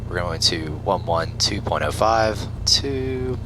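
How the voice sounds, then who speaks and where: Low-pitched man running through cockpit checklists. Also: animated, slow, noisy background. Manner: procedural, talks himself through checklists. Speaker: flight simulator pilot and host. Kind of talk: step-by-step instructional commentary with pilot radio calls. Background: simulated aircraft engine and cockpit sound.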